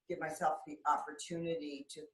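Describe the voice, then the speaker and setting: Hesitant, soft-spoken woman. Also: sits low, level tone, a little slow. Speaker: group member.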